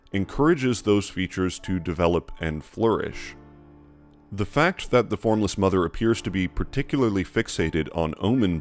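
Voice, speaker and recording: A man narrating a scripted, analytical lore video in a measured, explanatory way. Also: low-pitched.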